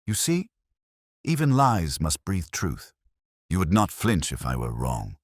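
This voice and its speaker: A man's voice: sits low, wildly swooping pitch, a little slow, no room sound, clean studio sound, exaggerated voice. A man voicing a keen, commanding detective with precise, theatrical, coolly triumphant delivery in an audio story. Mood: stern.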